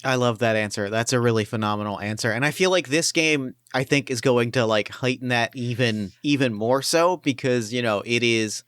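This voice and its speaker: Male podcast host, enthusiastic, chatty, smooth clear voice, dry close mic. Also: mid-range voice, normal speed, few pauses, a bit bright, no room sound. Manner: enthusiastic, chatty, many fillers. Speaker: podcast host and game master. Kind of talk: casual podcast chat.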